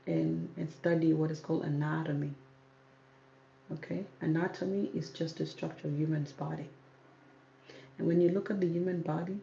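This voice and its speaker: Firm woman with a dark gravelly voice. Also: low-pitched. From South Sudan, raised in Kenya. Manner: firm, repeats herself for emphasis. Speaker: YouTube hair channel host. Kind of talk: livestream Q&A reading viewer comments. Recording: roomy, dull audio with faint noise.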